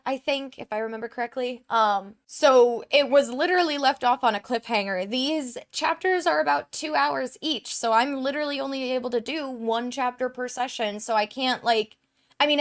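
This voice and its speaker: Chatty woman narrating her gameplay. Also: fairly high pitch. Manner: chatty, full of "um" and "like". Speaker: let's play gamer. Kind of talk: casual gameplay commentary. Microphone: desk microphone.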